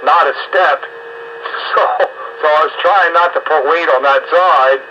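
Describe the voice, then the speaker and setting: A man's voice: fairly high pitch, a little slow. An American man chatting casually in a folksy way over amateur radio. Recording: dull, faintly noisy ham radio transmission.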